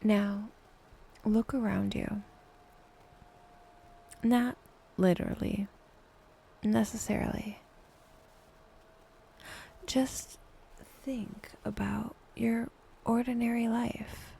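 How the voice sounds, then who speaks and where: Slow, near-whispering woman guiding meditation. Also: on the high side, fairly even intonation, rough recording. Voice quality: soft, breathy, often near-whispered. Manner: unhurried, with long pauses between short phrases. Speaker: meditation guide, podcast host. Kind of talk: guided meditation, soft slow scripted narration.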